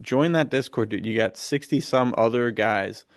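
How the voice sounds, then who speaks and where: Man, casual podcast co-host, medium-low pitch, creaky edge, dry sound, bro-ish slang. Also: moderate pace, no room sound.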